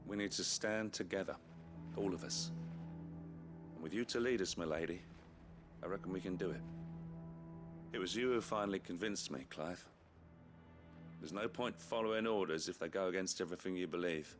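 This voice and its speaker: An earnest, loyal army captain voiced by a man in a fantasy video game. His voice is very low, his manner plain-spoken, sincere and deferential. Music plays clearly behind him. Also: normal speed, long pauses, natural voice. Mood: resolute. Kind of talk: scripted game dialogue.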